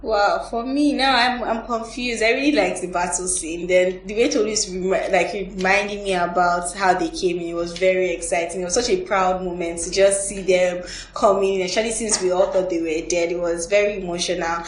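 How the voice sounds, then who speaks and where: A woman's voice: on the low side, fairly even intonation, a little fast, few pauses. Woman hosting from an echoey room. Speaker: host and reviewer on a panel. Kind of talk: casual film review discussion. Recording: echoey room, distant mic, faint noise.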